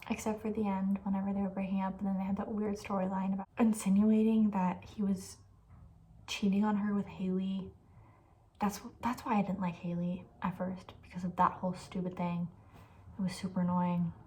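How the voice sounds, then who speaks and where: A soft-spoken woman host chatting casually in an ASMR style, often near a whisper, informal and thinking aloud. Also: medium pitch, little pitch movement, fairly quick.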